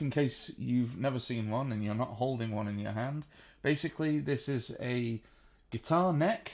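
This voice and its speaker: A man with a baritone voice, sitting on a sofa at home and casually explaining how to play the banjo, with plenty of ums and uhs. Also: darkish tone. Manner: chatty, unhurried, frequent ums and uhs. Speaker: banjo teacher. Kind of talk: relaxed spoken music tutorial.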